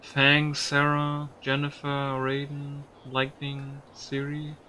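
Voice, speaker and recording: A man's voice: fairly high pitch, completely flat delivery, slow pace, sharp tone, a little hiss or hum. A man giving casual video game commentary, musing aloud with some mild swearing. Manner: musing aloud, mildly profane.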